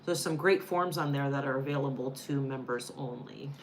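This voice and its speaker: An older woman talks in a low voice, chatty and quick to laugh. She is co-hosting a relaxed live Q&A. Faint noise sits under the recording. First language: Spanish. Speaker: co-host. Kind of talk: casual live Q&A chat.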